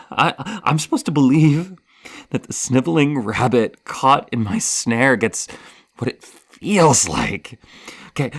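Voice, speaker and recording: A man playing a gleeful, hesitant serial killer villain toying with prey in a horror roleplay, stammering and pausing dramatically between breathy sighs. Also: a little high, heavily acted. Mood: incredulous, excited. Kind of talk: ASMR horror roleplay monologue.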